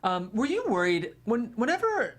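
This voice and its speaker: A woman's voice: a little high, sing-song, moderate pace. A woman hosting a casual interview, talking informally and often dropping in "like". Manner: informal, peppered with "like". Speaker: host.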